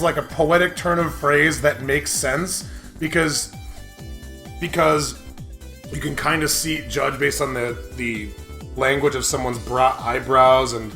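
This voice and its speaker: A man's voice: fairly high pitch, creaky, sharp tone. A man giving casual, rambling, argumentative commentary as host of a video game playthrough. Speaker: host of a visual novel let's play. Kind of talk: casual jokey game commentary, reading dialogue aloud. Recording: background music.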